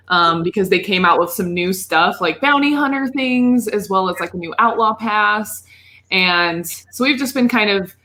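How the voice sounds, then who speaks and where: A woman with a bright, forward voice chats casually as a podcast co-host. She talks informally and rambles a little, at an ordinary middle pitch for a woman. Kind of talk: casual chat about video games.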